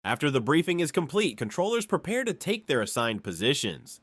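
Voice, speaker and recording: Guy doing explainer narration, bit higher voice, really varied intonation, measured n clear. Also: a bit bright, no echo.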